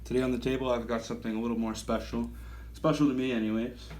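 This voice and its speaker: A man's voice: mid-range voice, flat delivery, fast, darkish tone, slight background noise, low-quality recording. A man in his thirties giving a casual gear review, talking in a rambling, self-correcting and enthusiastic way. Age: 30s. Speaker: knife reviewer.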